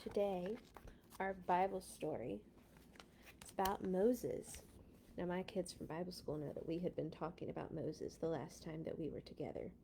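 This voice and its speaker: A woman hosting a children's read-along, reading storybook text with deliberate dramatic emphasis and dropping into casual asides. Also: lowish voice, gravelly, mellow, slight background noise. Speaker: children's read-along host and Bible school teacher.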